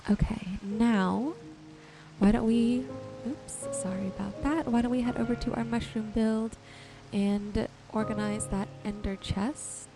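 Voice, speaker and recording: Female ASMR game host: slow, soothing delivery, average pitch, music underneath. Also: steady tone, poor audio. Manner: gentle, unhurried, soothing narration of own actions. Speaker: host of a gaming channel. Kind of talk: ASMR gameplay commentary. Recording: music behind the voice.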